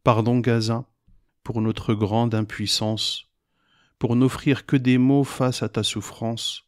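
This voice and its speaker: A man reciting his own poem in a solemn, grave and measured way, pausing between short phrases. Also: medium pitch, little pitch movement, no echo, studio quality.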